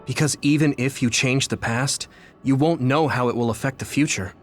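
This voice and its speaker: A man's voice: medium pitch, moderate pace, soft background music, lightly acted. An actor playing an earnest, thoughtful young male soldier hero in a video game, speaking sincerely and reflectively. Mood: reflective, resolute. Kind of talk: English video game voice acting, dramatic dialogue.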